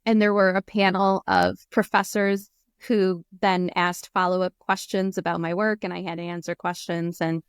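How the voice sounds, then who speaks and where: A woman's voice: fairly low pitch, flat delivery, moderate pace, smooth, no echo, studio quality. An American woman in her thirties, a guest chatting casually on a video call through an earphone mic, laughing often and using many fillers. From United States. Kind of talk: casual interview chat. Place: small room indoors. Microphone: earphone headset on video call.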